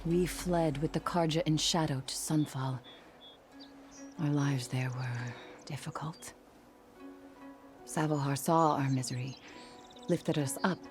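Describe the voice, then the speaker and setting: A low female voice carries a devout follower's stubborn loyalty. She speaks solemnly and reverently in measured game cutscene phrases, with prominent music behind her. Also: darkish tone. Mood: reverent, earnest. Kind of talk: video game cutscene acting.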